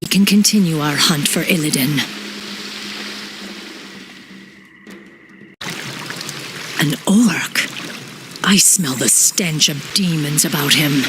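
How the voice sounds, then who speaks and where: A woman's voice: low-pitched, unhurried, noisy background. A woman voicing a stern night elf warden in a fantasy game, a relentless hunter who speaks formally and with severe command. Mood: wary, decisive. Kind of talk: fantasy game character acting.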